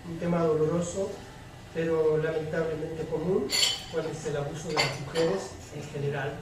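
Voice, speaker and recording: A man speaking formally and in a measured way as the moderator of a public panel debate. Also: a little high. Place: large echoey room at a public event. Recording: echoey hall, distant mic, faint noise.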